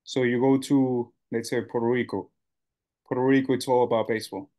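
Flat-voiced young man from Ecuador. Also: mid-range voice, brisk. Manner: loose, rambling with many fillers and restarts. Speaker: guest, baseball coach. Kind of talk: casual interview answers.

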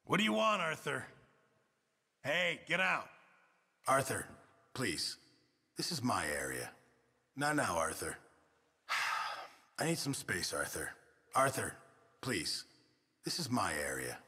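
Gravelly, excitable Western camp cook. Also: male voice, mid-range voice, takes it slowly, pauses often, long reverb tail, heavily acted. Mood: weary, annoyed. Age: middle-aged. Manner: exasperated, excitable, swinging from mutters to shouts. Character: gruff, fretful middle-aged male camp cook in a Western. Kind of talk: video game ambient character barks.

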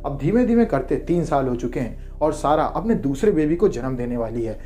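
A man's voice: medium pitch, very animated, moderate pace, mellow. A man narrating a movie recap from a script, with music behind him. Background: background music.

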